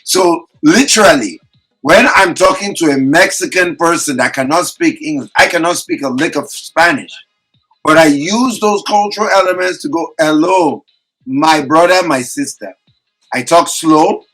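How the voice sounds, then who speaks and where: Bright-voiced man hosting a video call. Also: a little high, normal speed, faint music behind, rough recording. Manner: animated, slips into acted example voices. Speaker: host running an online quiz. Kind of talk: casual group discussion.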